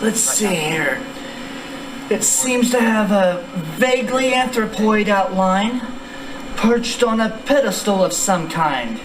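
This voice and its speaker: High-voiced courteous male professor played by an actor. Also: expressive, takes it slowly. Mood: intrigued. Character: George Angel, courteous, inquisitive male professor of languages. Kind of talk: stage radio-drama acting. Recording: echoey distant stage, faint noise.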